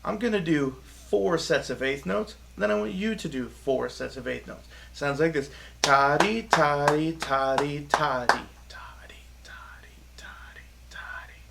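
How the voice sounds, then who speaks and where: A man's voice: on the high side, measured pace, vocal fry, heavy background noise. A man teaching a music lesson to children in an upbeat, chatty way, leading call-and-response rhythm chants.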